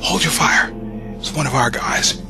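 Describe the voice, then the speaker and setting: An actor playing a tough army sergeant, blunt and streetwise with casual slang. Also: male voice, mid-range voice, unhurried, lightly acted. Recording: audio drama with music underneath.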